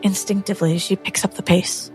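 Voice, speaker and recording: A woman's voice: mid-range voice, flat delivery, fairly quick, over music. A woman playing a tabletop game, describing her character's actions in a storytelling style.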